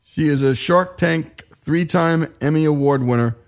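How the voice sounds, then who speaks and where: A man's voice: on the high side, measured pace. Emphatic male radio host. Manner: emphatic, conversational, with asides. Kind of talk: radio talk show narration.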